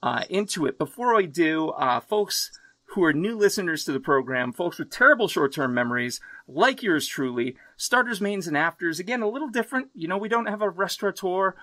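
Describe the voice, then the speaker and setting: A man's voice: high-pitched, animated, brisk, a bit bright, no room sound. A man from the United States hosting a podcast over a remote call, talking in an exuberant, casual way and swearing freely. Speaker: podcast host. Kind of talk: casual interview chat. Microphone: remote video call.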